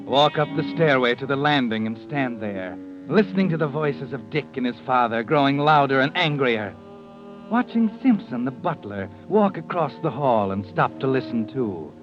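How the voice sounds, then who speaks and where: An actor playing a sardonic, knowing narrator in 1940s radio suspense, speaking in an ominous, insinuating way. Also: male voice, mid-range voice, lively intonation, natural voice. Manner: ominous, insinuating, deliberate phrasing. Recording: muffled old-time radio recording. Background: music.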